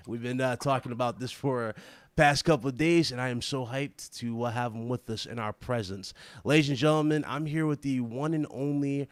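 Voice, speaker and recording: A man's voice: medium pitch, moderate pace, dry recording. A man hosting a live podcast interview, hyped and eager, often tripping over and repeating his words. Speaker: podcast host.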